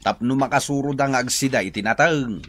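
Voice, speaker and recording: An actor playing a lazy, greedy village husband with an Ilocano accent in a radio drama. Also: male voice, medium pitch, fairly quick, fairly bright tone, natural voice. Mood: nonchalant.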